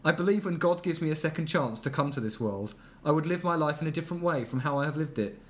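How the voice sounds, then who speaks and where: A man with a fairly high-pitched voice co-hosts a comedic podcast. He chats casually and reads emails aloud, dropping in amused, mocking remarks. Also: lively intonation, fast. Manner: amused, mocking asides while reading. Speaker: podcast co-host.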